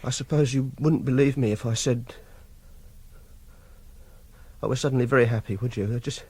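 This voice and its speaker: A man's voice: mid-range voice, fairly even intonation, normal speed, darkish tone, husky, no echo. An actor playing an awkward, repressed, obsessive young man with an English accent, hesitant and defensive in a radio drama. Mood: pleading, halting. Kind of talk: BBC radio drama acting.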